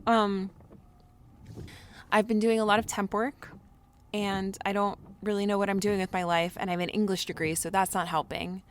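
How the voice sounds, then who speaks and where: A neurotic young woman in her twenties played by an actress confides in her audio diary for a drama podcast. She rambles frankly and puts herself down, sounding anxious and unguarded in a middle-pitched voice. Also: flat delivery, moderate pace, a little hiss or hum, natural voice. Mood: wry, unsettled. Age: 20s. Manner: rambling, self-deprecating, frank. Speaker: lead actor in an audio drama. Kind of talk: confessional audio diary monologue.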